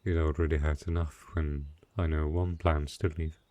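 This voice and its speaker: A man playing a lonely, gentle but possessive dark knight in an intimate fantasy audio roleplay, speaking softly and haltingly. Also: rumbling low, flat delivery, no echo, dull audio. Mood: anxious, vulnerable.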